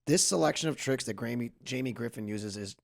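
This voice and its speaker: A man's voice: mid-range voice, a little fast, vocal fry. A man on a podcast panel giving casual, emphatic commentary and firm opinions.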